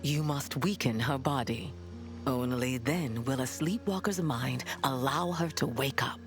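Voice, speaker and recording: An actress playing a solemn, weary coven witch in a fantasy game, speaking formally and with measured gravity. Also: very deep voice. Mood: grave. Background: game music.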